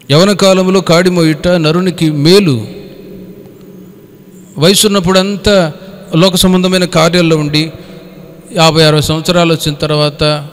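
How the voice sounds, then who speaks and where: A male pastor delivers a Bible study sermon in a fairly high voice. He teaches didactically, repeating key phrases and questioning his listeners. His words ring out with the long reverb of a hall.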